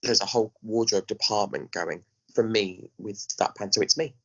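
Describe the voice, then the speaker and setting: A man's voice: fairly low pitch, moderate pace, a bit bright, muffled recording. A man chatting casually as an interview guest, speaking emphatically and often acting out little asides. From England. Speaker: podcast guest, pantomime director.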